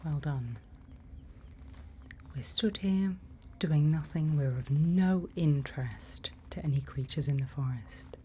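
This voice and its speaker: A woman speaks in a soft, breathy ASMR whisper with a dark, mellow tone. She guides the listener slowly and reassuringly as a calm forest night-watch leader. A faint ambience sits behind her close-miked voice. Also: sits low. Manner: unhurried, instructive, reassuring. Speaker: ASMR roleplay performer. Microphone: close microphone. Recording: faint background ambient noise.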